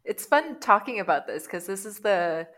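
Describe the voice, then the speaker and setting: A woman in her thirties from Canada, a guest chatting casually, animated and full of "like"s. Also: mid-range voice, normal speed. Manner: chatty and animated, many "like"s, laughs easily. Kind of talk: casual conversation.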